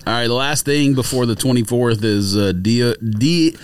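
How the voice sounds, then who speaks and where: A man's voice: mid-range voice, normal speed, noisy background. A man co-hosting a sneaker podcast, chatting casually and teasing his co-host with frequent laughter. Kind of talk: casual chat.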